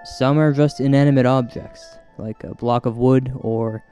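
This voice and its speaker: A man hosting and narrating a spooky comedy as a paranormal investigator, mock-serious and deliberate in his storytelling. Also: mid-range voice, fairly even intonation, dark tone. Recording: music bed under narration. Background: music.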